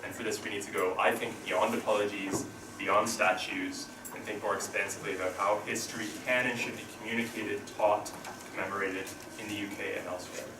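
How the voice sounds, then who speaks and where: A man wrapping up an academic talk at a seminar in a measured, argumentative way. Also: fairly low pitch, level tone. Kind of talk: closing remarks of an academic talk. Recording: echoey hall, distant mic, audible noise.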